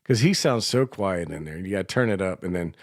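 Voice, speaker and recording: A man's voice: low-pitched, expressive, brisk, no room sound, clean studio sound. A man chatting casually as a radio show host, with an informal, teasing way of talking. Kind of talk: casual chat.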